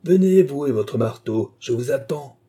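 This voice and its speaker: A man's voice: mid-range voice, little pitch movement, normal speed, mellow, slightly rough. A man voicing an army officer who gives commanding, urgent orders. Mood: defiant. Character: brave male army officer rallying people.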